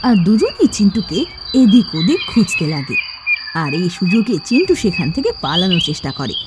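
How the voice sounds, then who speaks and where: Very animated woman narrating a cartoon story. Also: mid-range voice.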